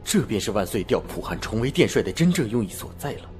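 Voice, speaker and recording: A weary general-prince of an imperial court, acted by a man for a period radio play. His voice sits fairly low and he speaks in measured, formal phrases, guarded throughout. Faint music plays behind him. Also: sing-song, darkish tone. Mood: brooding. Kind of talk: Chinese period audio drama acting.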